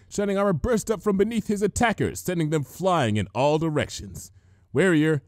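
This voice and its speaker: Male narrator for story reading: fairly high pitch, hugely varied intonation, dry close recording. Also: darkish tone, no echo. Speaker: fan fiction reader, narrator. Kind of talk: animated scripted story narration.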